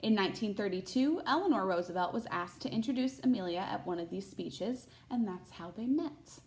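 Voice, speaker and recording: A woman's voice: mid-range voice, lively intonation, a little fast, a little mellow, a little hiss or hum. A woman teacher reading a children's picture book aloud expressively, with short asides to her listeners.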